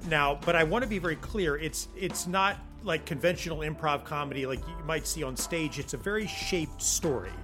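A man's voice: fairly high pitch, normal speed, few pauses, over music. A man giving an emphatic, explanatory podcast introduction in a semi-scripted style. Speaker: podcast co-host, writer.

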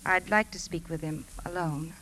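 An actress playing a composed, guarded woman under police suspicion, in measured and strained 1950s radio drama style. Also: lowish voice, bright, forceful tone. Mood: distressed, pleading. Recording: noisy 1950s radio broadcast recording.

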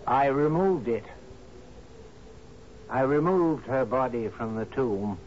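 A man's voice: mid-range voice, expressive, slow, darkish tone. An actor playing a loyal, grave island man, terse, guarded and deferential to his captain, in a 1970s radio drama. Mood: grave confession. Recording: dull, noisy old radio recording.

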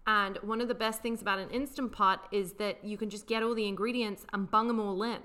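A woman's voice: mid-range voice, fairly even intonation, brisk, pushed and bright. A woman co-hosting a podcast, casually explaining slang words in a teasing, playfully correcting way. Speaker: podcast co-host.

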